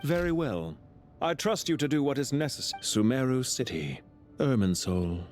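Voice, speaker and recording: A man playing a calm, courteous, scheming villain officer in a video game, speaking in a polite, formal, measured and theatrical way. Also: mid-range voice, soft background music, natural voice. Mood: calm, challenging. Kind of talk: scripted video game voice acting.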